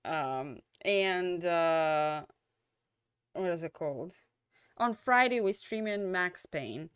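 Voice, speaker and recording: A young woman streamer chatting casually at the end of a stream, rambling with lots of fillers and restarts, tired and slowly losing her voice. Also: fairly low pitch, expressive, clean tone, no room sound, clean studio sound.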